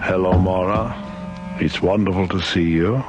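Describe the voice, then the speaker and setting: Sinister space undertaker, radio drama, measured, persuasive, lowish pitch, prominent music behind. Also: male voice, fairly even intonation. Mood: courteous. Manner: measured, coaxing, courteous. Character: sinister, persuasive male supervisor of a space mortuary. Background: loud dramatic music.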